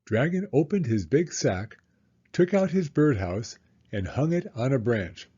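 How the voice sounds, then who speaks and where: A man reading a storybook aloud to young children in a deliberate, expressive storytelling way. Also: mid-range voice, slightly rough, dull audio.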